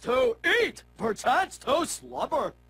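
An actor voicing a daft, earnest, excitable big boy in a cartoon video game. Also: high-pitched, takes it slowly, gravelly, breathy edge, heavily acted. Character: dim-witted, goofy, excitable big boy. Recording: music behind the voice. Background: music.

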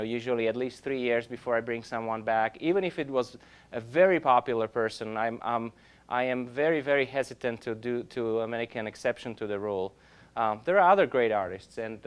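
A man in his thirties, an orchestra conductor, giving an informal lecture in a classroom, halting and restarting often but growing animated, recorded by a camera across the room. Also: mid-range voice, clean tone. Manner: halting, many restarts and fillers, grows animated. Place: classroom with projector.

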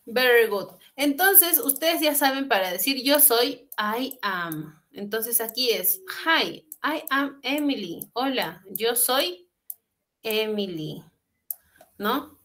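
A young woman teaching online, patient and unhurried, her voice bright and a little high. She moves between Spanish and English as she talks through each exercise, on a laptop mic from a small room at home. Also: animated. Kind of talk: online class explaining exercises. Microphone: laptop webcam on a video call.

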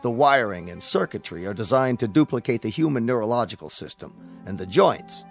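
An actor playing a courteous, persuasive corporate salesman in a radio drama, with a polished, flattering manner. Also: mid-range voice, brisk, gravelly, faint music behind.

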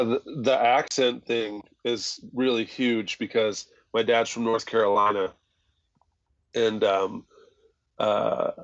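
A man with a lowish voice talks casually as a podcast co-host. His intonation stays very flat and even. The sound is a little dull and lo-fi. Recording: dull, lo-fi voice recording.

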